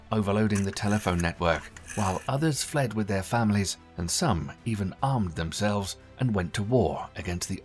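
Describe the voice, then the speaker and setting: A man reading scripted documentary narration in a measured, formal way. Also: fairly low pitch.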